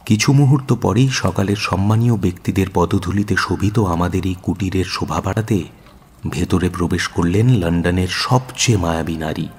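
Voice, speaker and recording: An actor playing a detective's earnest companion, narrating a radio drama in a measured, expressive, descriptive way. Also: male voice, low-pitched, slightly rough. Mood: admiring, solemn. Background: music.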